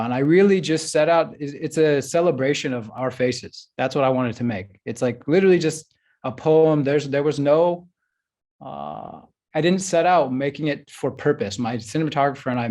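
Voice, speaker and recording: A man's voice: mid-range voice, darkish tone. A man speaking casually on a panel as a filmmaker, with a loose, chatty way of talking full of "like" and "you know what I'm saying". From United States.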